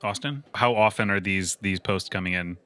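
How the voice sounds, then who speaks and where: A man chatting casually as a player in a tabletop roleplaying game, halting and sweary. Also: lowish voice, sharp tone, dry recording. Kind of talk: casual in-character improvised game chat.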